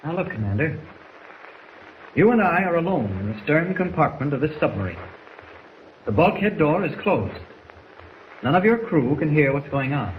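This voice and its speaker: An actor playing a brave, determined reporter hero in a 1940s radio drama, urgent and persuasive. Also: male voice, on the high side, big pitch swings, moderate pace, pauses often, muffled recording. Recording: noisy 1940s radio recording.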